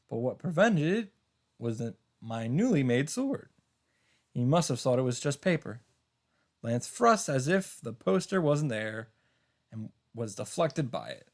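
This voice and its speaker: A man reading a first-person story aloud in a theatrical, halting way, with dramatic emphasis. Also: mid-range voice. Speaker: streamer reading a visual novel aloud.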